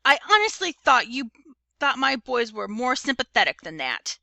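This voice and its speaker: A woman's voice: medium pitch, sing-song, brisk, bright, forceful tone, dry recording. A woman voicing a stern mother of young boys, earnest and reproachful, in an emphatic storybook reading. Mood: angry, scolding.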